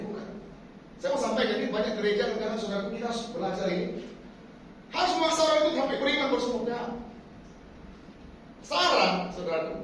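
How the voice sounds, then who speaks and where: Preacher guy, lively swooping voice, heard from a distance in a big echoey hall with faint hiss. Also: very high-pitched. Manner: animated, addresses the congregation as "saudara" constantly. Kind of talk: sermon. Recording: echoey hall, speaker far from the mic, faint noise.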